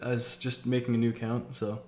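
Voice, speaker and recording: Enthusiastic young American man, mid-pitched. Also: moderate pace, roomy sound. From Massachusetts, United States. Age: 20s. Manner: enthusiastic, slangy, excitable. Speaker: game streamer, host. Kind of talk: casual chat.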